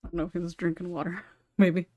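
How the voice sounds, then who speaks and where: A woman's voice: on the low side, level tone, fairly quick, a little mellow, dull audio, a little hiss or hum. A woman with a chatty, giggly, informal manner, hosting a casual panel discussion. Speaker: podcast host and writer.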